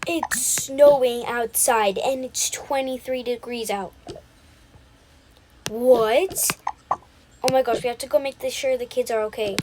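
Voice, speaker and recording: A young woman with a fairly high voice improvises a roleplay voiceover. She speaks slowly and haltingly, muttering to herself as she goes. The recording is heavily noisy, as if made casually at home. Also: steady tone. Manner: unscripted, halting, with repeated self-talk. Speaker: video creator voicing a roleplay.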